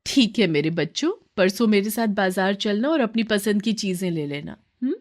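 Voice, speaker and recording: A woman's voice: medium pitch, lively intonation, moderate pace, darkish tone, close to an everyday voice. Woman as a humble, tender poor mother. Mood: tender, reassuring.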